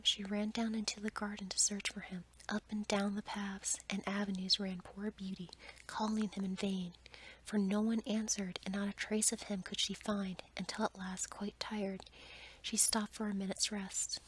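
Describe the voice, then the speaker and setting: A woman reading a bedtime story in a soft, breathy ASMR whisper, gentle and hushed. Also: mid-range voice, low-quality recording.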